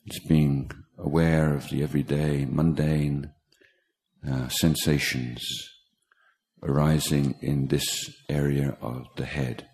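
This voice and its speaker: An extremely low-pitched man guides a meditation. He speaks slowly and carefully, breaking his instructions into short phrases with pauses between them. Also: level tone, a little mellow. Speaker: meditation teacher, Buddhist monk. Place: meditation retreat talk in Thailand.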